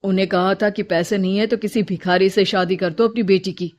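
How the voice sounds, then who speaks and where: A woman's voice: medium pitch, fairly even intonation, fairly quick, close to an everyday voice. Cartoon adult son voiced by a woman: frank, earnest, reproachful delivery. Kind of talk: acted cartoon story dialogue.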